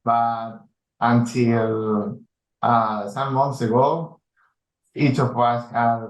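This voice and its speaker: A man from Spain's Basque Country, a chief data officer, speaking as a guest on a video call with many thoughtful pauses and fillers. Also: mid-range voice, flat delivery, echoey room, a little hiss or hum, low-quality recording. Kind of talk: casual interview talk. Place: home or office in Lima.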